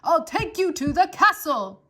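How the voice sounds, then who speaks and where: A woman's voice: on the high side, normal speed, lightly acted. A woman voicing a formal, dutiful male soldier of the king who speaks politely and raises his voice to be heard. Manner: stiff, polite, shouts to be heard.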